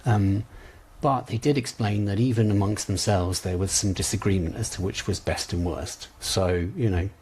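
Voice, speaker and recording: Low-voiced man leading an informal lesson. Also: fairly even intonation, normal speed, few pauses, a little mellow, slight background noise. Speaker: coach trainer leading exam preparation session. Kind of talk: informal online lesson with practice questions.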